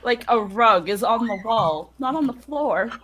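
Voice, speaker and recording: Girl chatting in a game group, animated high-ish voice, really noisy audio. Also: unhurried. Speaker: guest player in a group playthrough. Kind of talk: casual chat. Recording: loud background noise.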